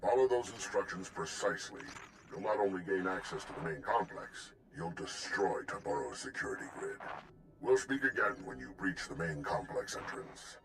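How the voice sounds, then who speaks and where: A man's voice: low-pitched, expressive, moderate pace, background noise. A man voicing a pompous, wordy alien scientist in a game, with haughty, florid and formal diction. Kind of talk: game cutscene acting.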